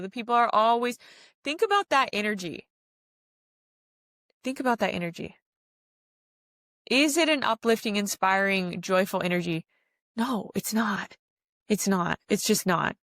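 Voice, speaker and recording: A woman's voice: mid-range voice, fairly bright tone, no room sound, clean studio sound. A woman giving an informal spiritual self-help monologue as a podcast host, speaking earnestly and repeating phrases for emphasis.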